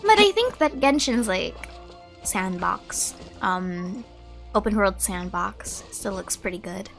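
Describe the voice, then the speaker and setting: A woman's voice: mid-range voice, expressive, takes it slowly, vocal fry, a bit bright. A young woman streamer giving casual, chatty gameplay commentary, rambling and musing aloud. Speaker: game streamer. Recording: game music behind the voice. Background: game music.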